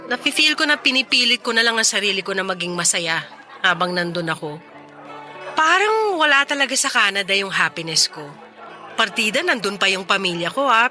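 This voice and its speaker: A woman's voice: mid-range voice, bright, forceful tone. An actress playing a wistful young woman in a Tagalog radio drama, teasing and conversational as she talks with an old flame. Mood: wistful, confiding. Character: Mela, wistful young woman reunited with her ex.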